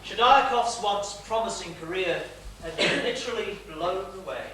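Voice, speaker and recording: High, forceful mock-solemn storyteller played by a man. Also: lively intonation. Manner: florid, mock-dramatic, deliberate. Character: grandly mock-solemn storyteller narrating a comic tale. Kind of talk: theatrical scripted narration. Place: small theatre stage, far from mic. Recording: distant, echoey, noisy theatre stage recording.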